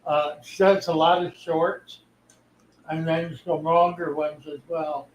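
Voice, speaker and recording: An older man with a fairly high voice hosts a casual livestream, speaking slowly and unhurriedly in a folksy manner. His voice carries the echo of a live room with faint background noise. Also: level tone. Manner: folksy, easygoing, reads chat comments aloud. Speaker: livestream host, fish keeper.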